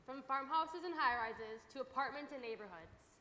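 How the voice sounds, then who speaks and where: A woman's voice: sits high, expressive, normal speed, pushed and bright, a little hiss or hum. A young woman giving a scripted stage recitation as a student performer. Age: young adult. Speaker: student performer in a readers theater. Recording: echoey reverberant hall.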